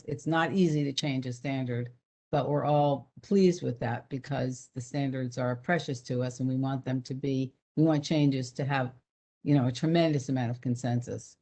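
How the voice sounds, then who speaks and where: Woman, very low voice, conversational webinar presenter, explaining, video call. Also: normal speed, a little mellow. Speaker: program director.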